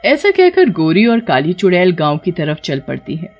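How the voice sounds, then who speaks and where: A woman's voice: lowish voice, huge pitch swings, moderate pace, muffled recording, soft background music. A woman storyteller reading scripted narration for a tale.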